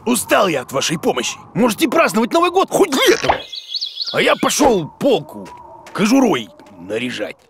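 Creaky, grumpy bearded cartoon creature. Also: male voice, high-pitched, wildly swooping pitch, moderate pace, background noise, exaggerated voice. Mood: grumpy, exasperated. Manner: blustery, exclamatory, mock-scolding. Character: grumpy, muddle-headed bearded creature, a bumbling self-sure teacher. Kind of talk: children's cartoon acting.

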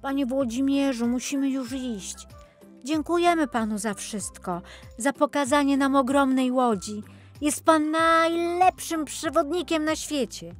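A woman voicing a curious, polite and excitable little girl in a children's story. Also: high voice, unhurried, pitched above the performer's own voice. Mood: grateful. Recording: music behind the voice.

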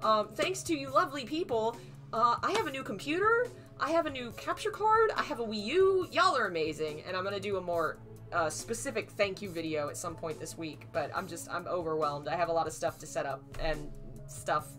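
A woman in her thirties reading a novel aloud on a live stream, her voice slightly hoarse, acting out the dialogue, then chatting casually. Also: lively intonation, brisk, bright, forceful tone, soft background music. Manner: acts out dialogue with raised pitch for frightened lines. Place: small home room, indoors.

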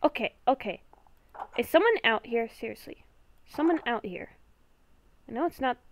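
Nervous young werewolf woman, acted by a female gamer, Minecraft roleplay; halting, repetitive speech, high register, very animated pitch. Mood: uneasy, puzzled. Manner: halting, stammering, repeats herself. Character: nervous young woman werewolf, hearing ghostly voices at night.

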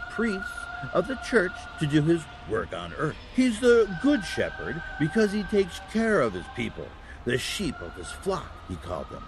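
Kindly, elderly Saint Nicholas male actor for a family audio drama: gravelly, lively, avuncular. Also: mid-range voice, a little hiss or hum. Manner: avuncular, patient explaining with chuckles and hesitations.

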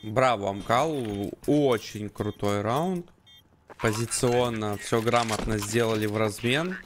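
A man's voice: on the low side, slow, slight background noise. A man doing live esports commentary, analysing the play as he calls it.

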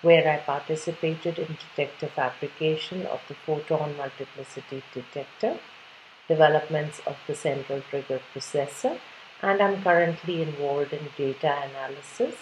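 A woman from India, a physics professor, calmly recounting her long career in a self-introduction to a webcam at home. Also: low-pitched, slight background noise, low-quality recording. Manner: measured, listing career history. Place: home room.

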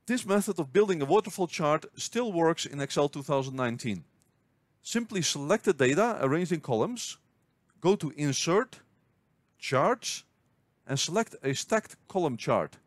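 Guy walking you through a spreadsheet tutorial, bouncy higher voice, super dry close mic. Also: animated, normal speed, no room sound. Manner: clear step-by-step explaining. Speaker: finance tutorial host. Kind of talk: scripted screen-recording tutorial.

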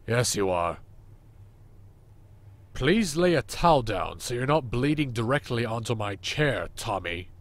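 An actor playing a crude, sarcastic, unhappily married boss in an audio drama, with deadpan delivery. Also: male voice, medium pitch, measured pace, long pauses.

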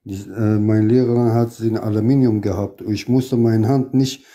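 A man from Iraq whose first language is Arabic, a guest on a live stream who talks casually in halting, simple phrases. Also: on the low side, little pitch movement, mellow. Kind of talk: casual chat.

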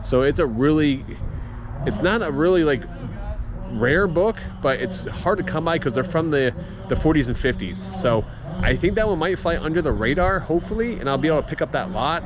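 A man's voice: on the high side, expressive, normal speed, crowd noise. A middle-aged man reselling collectibles, talking casually to camera at an auction house and thinking aloud as he goes. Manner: chatty, thinking aloud with restarts. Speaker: reseller vlogger. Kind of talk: casual running commentary.

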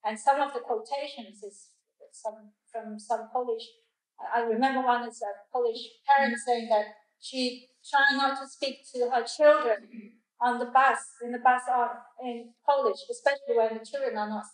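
A woman leading a group discussion, explaining her views in a careful, measured way. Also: on the high side, fairly even intonation. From Hong Kong. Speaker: discussion leader. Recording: echoey room, distant mic.

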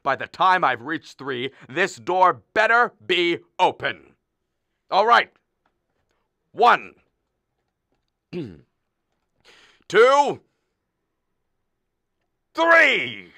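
A man playing an exasperated father, wildly animated. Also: high voice, drawn-out words, pauses often, dry recording, full character voice. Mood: stern, angry. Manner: theatrical, argumentative, sarcastic. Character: exasperated, blustering middle-aged father of a bride. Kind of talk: stage comedy performed as a radio play.

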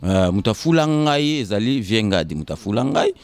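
A man in his fifties from Kinshasa with a Congolese accent, answering casually in short, halting, repeated phrases. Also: on the low side, very animated, darkish tone. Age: 50s. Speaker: interview guest. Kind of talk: casual interview storytelling, switching between Lingala and French. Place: indoors on a sofa. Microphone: handheld microphone held by interviewer.

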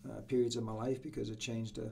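A middle-aged man with an English accent, reminiscing casually as an interview guest, often pausing with you-knows. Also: mid-range voice, little pitch movement, creaky, darkish tone, a little hiss or hum. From Newcastle, England. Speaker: soccer coach, interview guest. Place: small indoor room with acoustic panels. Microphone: podcast boom microphone.

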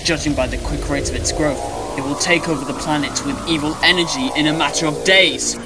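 A man's voice: on the high side, fairly even intonation, fairly quick, natural voice. A man voicing a stoic, solemn alien robot warrior with a grave, formal delivery in a cartoon fan dub. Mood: grave explaining. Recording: loud music over the voice. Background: loud music.

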